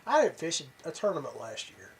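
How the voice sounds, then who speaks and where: A man hosting a podcast, talking casually and haltingly to his viewers, rambling along with pauses and repeats. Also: a little high, huge pitch swings, slightly rough. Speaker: podcast host. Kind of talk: casual rambling talk to viewers about tournament fishing.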